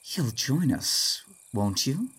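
A woman's voice: a bass voice, big pitch swings, takes it slowly, husky, heavily acted. A woman playing a possessed, sneering, falsely sweet father who sold out to demons, in a horror-comedy audio drama. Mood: menacing. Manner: sneering, saccharine, theatrical.

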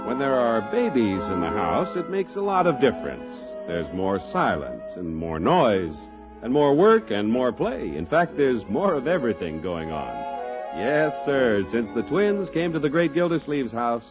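A man's voice: medium pitch, sing-song, a little slow. A man announcing in a scripted, polished, salesmanlike 1950s radio style. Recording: muffled old radio broadcast, music behind. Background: music.

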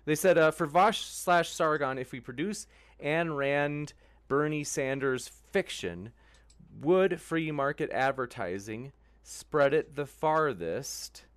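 A man hosting a stream, briskly thanking viewers and reading their comments aloud, slowing down carefully over the quotes. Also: on the high side, expressive, clean tone.

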